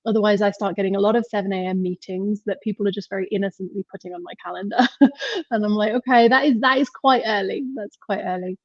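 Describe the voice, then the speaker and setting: A woman with an English accent talks as a podcast guest, chatting in a loose, rambling way with frequent laughs. Her voice is pitched around the middle for a woman. The sound is dry and a little muffled, like a video call. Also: fast talker, no echo. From England. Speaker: podcast guest, marketing mentor. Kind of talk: casual interview chat.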